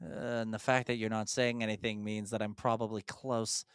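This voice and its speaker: A woman's voice: very deep voice, level tone, moderate pace, clear, resonant tone, no room sound, clean studio sound. A woman co-hosting a casual podcast, thinking aloud, repeating clues and poking fun at herself as she guesses. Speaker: podcast co-host. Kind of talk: casual chat.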